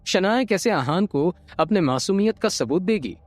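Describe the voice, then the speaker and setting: A man narrating an audio drama from a script, expressive and building suspense. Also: sits high, moderate pace, no echo. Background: light background music.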